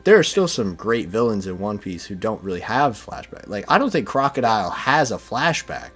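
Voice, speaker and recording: A man with a fairly low voice talks casually as a fan on a podcast. He leans on filler phrases like "you know" as he goes, and faint music plays behind him. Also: big pitch swings, moderate pace, a bit bright. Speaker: podcast speaker discussing manga.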